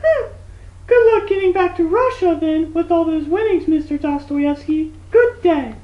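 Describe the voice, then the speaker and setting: Girl doing snooty prim russian princess, squeaky high, echoey puppet show audio. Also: measured pace. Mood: indignant, haughty. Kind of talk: comic scripted puppet show. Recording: echoey, dull lo-fi recording.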